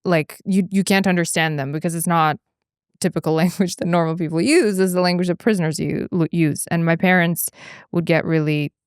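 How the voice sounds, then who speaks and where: Woman podcast host, crisp dry studio sound. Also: on the low side, dry recording. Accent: Eastern European. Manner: animated, laughs often, quick bursts. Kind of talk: casual conversation.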